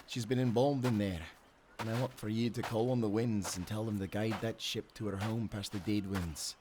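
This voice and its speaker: An actor playing a tender, grieving old sailor with a Scottish accent in an audio drama. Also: male voice, on the low side, fairly even intonation, normal speed, noisy background, close to an everyday voice. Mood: somber, gentle.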